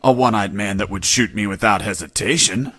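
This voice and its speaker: An actor playing a wry, unflappable escaped prisoner telling his story in a video game, with deadpan delivery. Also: lowish voice, fairly quick, husky, no echo. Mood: matter-of-fact. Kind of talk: video game narration and dialogue.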